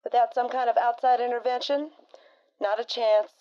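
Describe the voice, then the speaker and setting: A woman's voice: fairly high pitch, level tone, moderate pace, dark tone, highs cut off, natural voice. A woman doing a folksy, blunt frontier co-host. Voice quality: folksy drawl and slang. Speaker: radio co-host.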